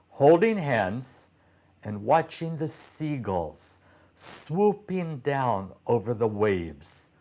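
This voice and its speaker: A man reading a children's story aloud as a narrator, phrasing deliberately with frequent pauses. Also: medium pitch, darkish tone.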